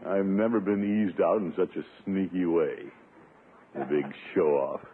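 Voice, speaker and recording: An actor playing a blustering, jealous middle-aged bachelor in a 1950s radio sitcom, pompous and grumbling with theatrical exasperation. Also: male voice, low-pitched, unhurried. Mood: sulky, defeated. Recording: tinny, noisy 1950s radio recording.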